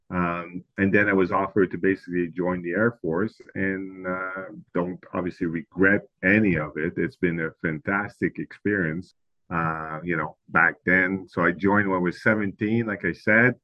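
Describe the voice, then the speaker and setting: A man's voice: sits low, no echo, highs cut off. A middle-aged man from Quebec with a Quebec French accent, a French native speaker who searches for English words and uses many fillers as a guest in a casual interview. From Quebec, Canada.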